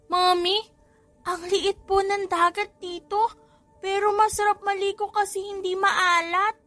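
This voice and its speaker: A woman's voice: very high voice, flat delivery, measured pace, fairly bright tone, no room sound, soft background music, pitched above the performer's own voice. A woman voicing a mischievous, boastful little boy with a childlike manner in an audiobook story. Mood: playful, cheeky. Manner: childlike, polite pleading to mother. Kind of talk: audiobook character voice in a family romance story.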